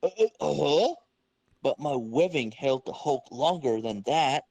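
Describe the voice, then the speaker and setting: A man's voice: medium pitch, lively intonation, a little slow, creaky, darkish tone, no echo, voice pushed up. Casting: a man reading wisecracking male superhero for a comic dramatic reading, confident, teasing, middle register. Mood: cocky, taunting. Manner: taunting, quippy, read-aloud delivery.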